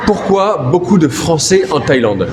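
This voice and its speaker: A man's voice: fairly high pitch, very animated, measured pace. A man hosting a casual vlog interview, upbeat and chatty, talking straight to his viewers. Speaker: YouTube host and interviewer. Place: outdoors at a Bangkok night market. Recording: loud street and traffic noise.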